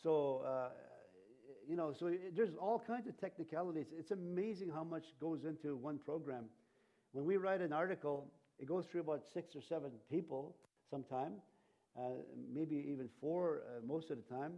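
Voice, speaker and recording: Pastor, male, Cree and Ojibwe first languages; chatty, halting sermon delivery, warm and slightly high. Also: dull audio. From Canada. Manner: rambling with many fillers and restarts. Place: church.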